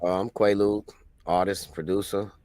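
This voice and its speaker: A man's voice: sits low, little pitch movement, moderate pace, darkish tone, clear, resonant tone, no echo. A man from Albany, New York, a musician and producer, talking in a laid-back, rambling way on an online call. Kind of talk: casual chat.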